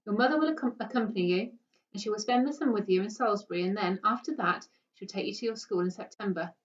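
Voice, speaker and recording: A woman's voice: mid-range voice, fast, lightly acted. A woman voicing a firm, formal, matter-of-fact father in a storybook reading. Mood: firm lecturing. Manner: formal, lecturing. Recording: dull, distant microphone.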